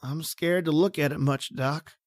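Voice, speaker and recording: A woman playing a timid, uneducated male lab assistant who stammers and pleads in rough slangy speech. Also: low voice, normal speed, a little mellow, dry recording, clean studio sound, close to an everyday voice. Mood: scared. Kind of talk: old-time radio horror acting.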